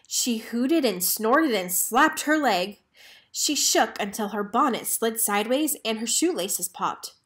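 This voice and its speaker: A woman reading a children's storybook aloud in an animated, deliberate way. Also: a little high. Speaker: storybook reader. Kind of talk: expressive children's read-aloud narration.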